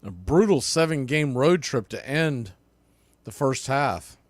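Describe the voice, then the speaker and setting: A man's voice: mid-range voice, animated, takes it slowly, no echo. A man giving live radio play-by-play commentary of a baseball game as the announcer.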